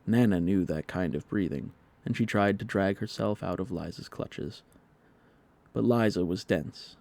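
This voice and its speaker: A man's voice: sits low, a little slow, vocal fry, a little mellow. A man reading a children's story aloud as an audiobook narrator, in a scripted storytelling style.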